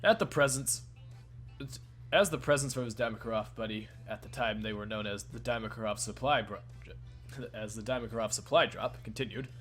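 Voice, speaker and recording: A man's voice: fairly low pitch, a little fast, a bit bright. A man hosting a let's play, reading a visual novel's narration aloud and joking profanely with friends. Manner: laughs often, casual and profane between lines.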